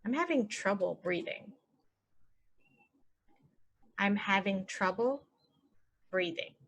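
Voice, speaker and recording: A woman teaching English phrases, saying each one and then repeating it slowly and clearly for learners. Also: medium pitch, animated, a bit bright. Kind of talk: slow phrase-by-phrase language lesson.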